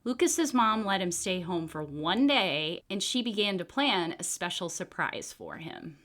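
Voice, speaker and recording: A woman reading a storybook aloud for children in an expressive read-aloud style. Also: on the low side, a little slow. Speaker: children's book reader.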